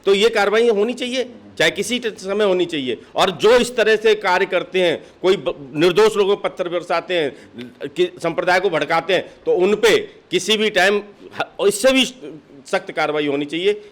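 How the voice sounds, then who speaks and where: A man's voice: high voice, normal speed, hardly any pauses. A man giving impassioned interview answers as a political official, insistent and argumentative, often firing off rhetorical questions. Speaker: political party district official being interviewed.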